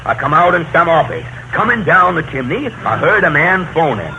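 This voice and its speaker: Grumpy, folksy old Santa, lively pitch. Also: male voice, moderate pace, highs cut off. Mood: weary, storytelling. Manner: folksy, rustic turns of speech. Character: grumpy, put-upon old Santa Claus, folksy and soft-hearted. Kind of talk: 1930s radio comedy acting. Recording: noisy 1930s radio broadcast recording.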